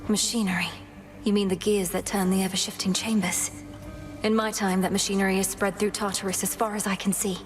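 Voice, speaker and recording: An actress playing a young witch princess in a video game, a determined and earnest sister with a formal, measured way of speaking. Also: medium pitch, level tone, over music, natural voice. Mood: thoughtful. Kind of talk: video game character dialogue.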